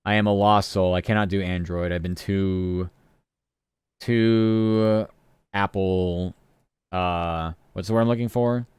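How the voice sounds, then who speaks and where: A man's voice: deep voice, fairly even intonation, takes it slowly, a little mellow, clear, resonant tone, dry recording. A man streaming live, chatting casually and rambling from topic to topic while answering his chat.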